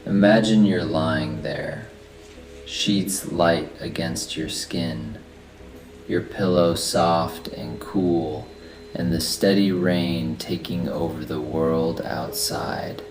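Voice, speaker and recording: A man narrating a guided sleep meditation in a soft, soothing, unhurried manner. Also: very deep voice, poor audio. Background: steady rain sounds and soft background music.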